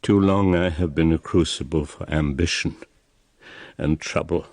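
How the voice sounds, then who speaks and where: An old royal father in a solemn radio drama, stern and worn out. The voice is deep and slow, declaiming gravely in a dry, close acoustic. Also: fairly even intonation, darkish tone, no echo. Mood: weary, intense.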